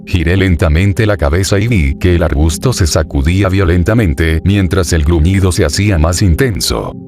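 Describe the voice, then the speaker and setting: A man narrating a first-person horror story in a steady, even reading voice. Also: deep voice, normal speed. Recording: narration over clear background music. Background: background music.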